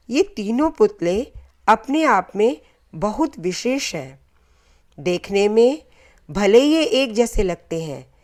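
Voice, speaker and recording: A woman narrates a scripted folktale with a moral. Her delivery is very unhurried, broken into short, deliberate phrases. Her voice sits at an average pitch for a woman. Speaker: storyteller.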